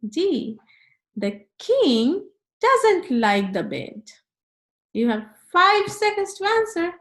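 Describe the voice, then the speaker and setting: Woman for children's read-aloud and quiz: very slow, lively pitch swings, slightly high voice, clear teacherly address. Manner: deliberate, instructional, addressing young listeners directly.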